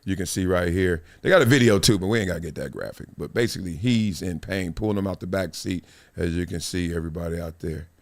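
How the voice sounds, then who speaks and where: Middle-aged guy hosting a live stream, playful and animated, loose and chatty. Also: low voice, quick pace. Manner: animated, playful, reads comments aloud. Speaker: show host. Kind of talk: casual live-stream commentary.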